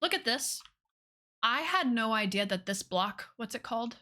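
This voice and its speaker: A woman with a fairly high, very bright voice hosts a casual game livestream. She talks informally and gushes with enthusiasm, often repeating phrases and addressing her viewers as y'all. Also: lively intonation, normal speed. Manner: gushing, enthusiastic.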